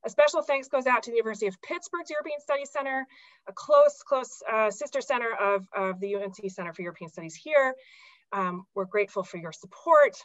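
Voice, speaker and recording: A woman's voice: on the high side, fairly quick, no echo. A woman in her forties introducing a guest speaker on a video call, with many fillers and restarts. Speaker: event host introducing the speaker. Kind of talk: webinar introduction. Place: home office on a video call. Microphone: laptop mic on a video call. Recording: dull, dry video call audio.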